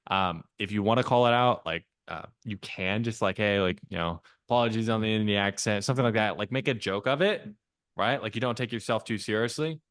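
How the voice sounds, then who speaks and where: A man's voice: lowish voice, fairly quick, a bit bright, dry recording, studio quality. A man coaching a salesperson on a video call, talking casually with lots of "like" fillers. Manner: casual, filler-heavy, frequent "like".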